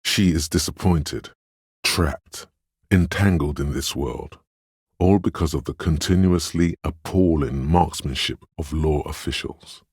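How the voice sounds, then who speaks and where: A man voicing a game's empathetic inner voice, a perceptive and tender narrator with a husky, very deep voice and measured, literary delivery. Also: a little mellow, no room sound, clean studio sound, full character voice. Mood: grave, observant. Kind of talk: scripted game narration.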